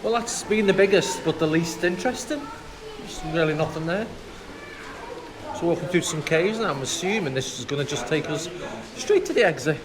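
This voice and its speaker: A man's voice: on the high side, expressive, moderate pace, a crowd in the background. A man vlogging with casual walk-and-talk commentary, rambling and musing aloud as he goes. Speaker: vlogger presenting a theme park walk. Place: outdoors in a theme park. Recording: music behind, outdoor vlog. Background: music.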